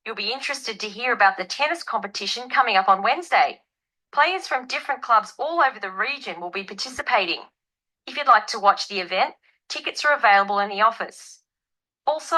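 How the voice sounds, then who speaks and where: A woman playing an upbeat club manager giving a clear, measured scripted announcement for a listening test. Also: mid-range voice, pushed and bright, poor audio.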